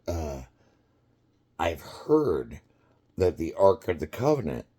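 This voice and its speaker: A man in his fifties tells a story in an unhurried, very deep voice. He rambles and stops to restart sentences, and laughter keeps slipping into his talk. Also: warm tone. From United States. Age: 50s. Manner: rambling, halting, quick to laugh. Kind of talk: casual storytelling.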